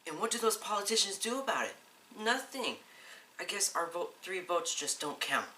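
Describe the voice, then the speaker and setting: A man's voice: high voice, sing-song, moderate pace, bright, forceful tone, heavily acted. A young man in an amateur school play acting a genial, stubborn middle-aged father and husband. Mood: grumbling. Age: young adult. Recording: echoey, noisy room, distant mic.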